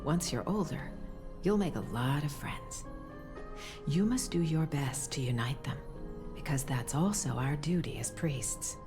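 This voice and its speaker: Game role: gentle priestess mother, low register, breathy, soothing, formal, music underneath. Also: animated, measured pace. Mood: reassuring. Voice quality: soft, breathy. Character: gentle, tender mother, solemn temple priestess. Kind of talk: voiced game cutscene dialogue.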